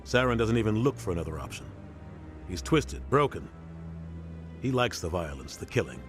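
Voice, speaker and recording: An actor playing a seasoned, middle-aged military mentor in a video game, speaking in a measured, grave, matter-of-fact way. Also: male voice, on the low side, very animated. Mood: grim, condemning. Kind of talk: scripted game dialogue. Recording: game dialogue over background music.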